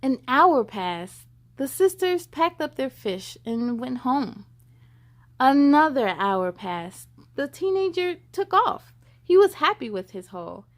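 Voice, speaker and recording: A woman's voice: high voice, very animated, slow. A woman hosting children's story time, reading expressively and dramatically and chatting directly to young viewers.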